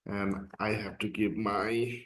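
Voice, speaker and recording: A man's voice: on the low side, level tone, slow, fairly bright tone. A man from Bangladesh, a non-native speaker of English whose first language is Bangla, casually explaining an application form step by step as an instructor. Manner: casual and explanatory, frequent "uh" and "okay". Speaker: course instructor. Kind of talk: screen-recorded tutorial walkthrough.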